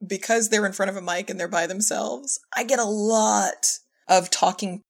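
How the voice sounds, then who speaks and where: A woman's voice: medium pitch, steady tone, moderate pace, creaky. A woman in casual conversation as an audio drama director, encouraging and agreeable with a wry streak.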